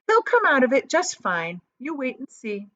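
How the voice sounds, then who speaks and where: Woman doing quirky candy maker guy from a kids book, breezy, flippant, real animated, slightly dull audio. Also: sits high, normal speed, no room sound, pitched above the performer's own voice, full character voice. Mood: breezy reassurance. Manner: emphatic, theatrical, soothing yet flippant. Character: eccentric male chocolate maker, airily unconcerned, dismissive.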